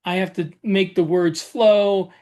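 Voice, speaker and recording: A male podcast co-host with a notably high voice. He explains things casually, pausing often and feeling carefully for the right word. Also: steady tone, a little mellow.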